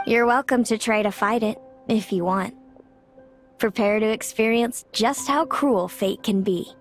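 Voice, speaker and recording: A woman voicing a proud, fatalistic older sister in a video game, measured and grave. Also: fairly high pitch, faint music behind, natural voice. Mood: confident. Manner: measured, grave, speaks of fate with cool confidence.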